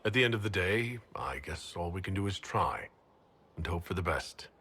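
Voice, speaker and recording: A man's voice: low-pitched, animated, normal speed, sharp tone, long reverb tail, a little hiss or hum, exaggerated voice. A man playing a plain-spoken, resolute hero in a video game, direct and serious in a restrained way. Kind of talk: dramatic video game acting.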